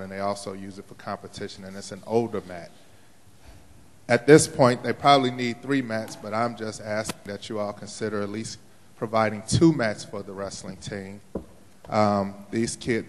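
Low, steady man addressing a school board, formal, reverb-heavy hall. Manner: formal, measured appeal. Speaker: parent giving public comment. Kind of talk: public comment at a school board meeting. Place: large auditorium.

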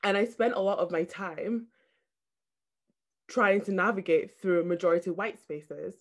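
A woman's voice: lowish voice, unhurried. A woman in her twenties from Scotland, born in Nigeria, giving a personal speech as a student over a video call. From Nigeria, grew up in Scotland. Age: 20s. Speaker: student society president, panel speaker. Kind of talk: personal speech at an online launch. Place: plain room indoors.

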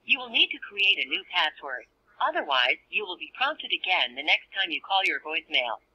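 A woman's voice: lowish voice, sing-song, normal speed, sharp tone, slightly rough. A woman reading scripted automated voicemail prompts, heard through a telephone. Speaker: automated voicemail system announcer. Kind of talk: scripted phone menu prompts. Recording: dull lo-fi phone speaker playback.

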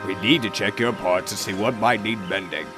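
A man doing a stern, booming railway boss. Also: a little fast, music in the background, close to an everyday voice. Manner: stern, commanding.

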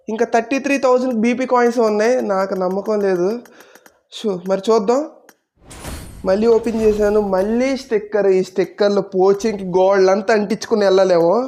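A woman's voice: mid-range voice, mellow. A woman hosting a gaming video with casual commentary to viewers.

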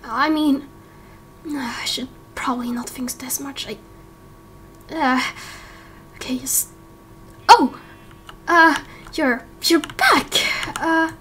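A woman voicing an uneasy young man turned angel in a game roleplay, hesitant and halting. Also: high voice, a bit bright, slight background noise. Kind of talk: Minecraft roleplay voice acting.

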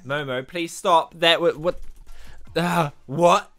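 A young man with a husky morning voice, hosting an excited, slangy music reaction vlog from a small bedroom on a studio mic. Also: on the high side, slow pace. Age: young adult. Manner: excitable, animated, exclamatory, slangy. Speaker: reaction channel host. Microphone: studio mic in front, headphones on.

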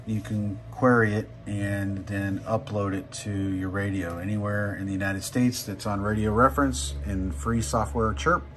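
A man with a fairly low voice gives a casual how-to walkthrough. He speaks informally and marks steps with short exclamations. Faint music sits behind him. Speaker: tutorial presenter. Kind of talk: casual step-by-step how-to narration. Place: indoor room, behind a handheld camera.

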